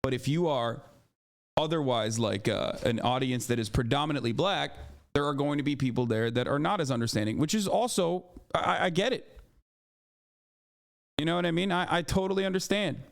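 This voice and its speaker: A man's voice: fairly high pitch, moderate pace, gravelly. A man in his thirties, from Turkey, giving emphatic live-stream commentary, lecturing with frequent "okay?" and "you know" and some profanity.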